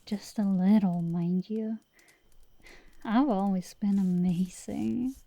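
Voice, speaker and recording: A woman playing a brash but secretly tender dragon girl sorceress in an intimate ASMR roleplay, soft and sometimes whispering, teasing and affectionate, close to the mic. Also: medium pitch, takes it slowly, no echo. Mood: fond, teasing. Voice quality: soft, breathy whisper at times.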